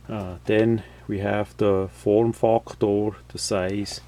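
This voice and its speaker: A man hosts a casual, unscripted product demonstration in a fairly low voice. He speaks very slowly, explaining one item after another in a halting way, with frequent fillers and repeated words. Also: a little mellow. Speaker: host of product unboxing videos.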